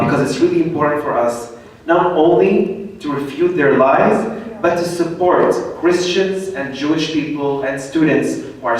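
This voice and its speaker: Man, public speaker, halting, lots of fillers, distant mic, roomy echo. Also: medium pitch. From Lebanon. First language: Arabic. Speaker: event speaker. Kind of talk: public talk. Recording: echoey room, distant mic.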